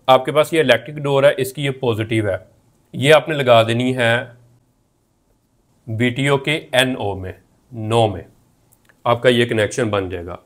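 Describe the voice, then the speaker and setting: A man in his thirties with a Pakistani accent, teaching methodically as a technical instructor and repeating each step. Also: mid-range voice. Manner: repetitive, methodical explaining. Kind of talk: instructional tutorial, explaining step by step. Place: indoor room at a whiteboard.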